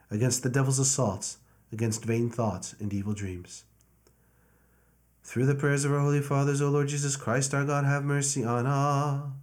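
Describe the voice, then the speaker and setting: A man, a priest, intoning prayers and a slow, repeated liturgical chant. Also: medium pitch, darkish tone.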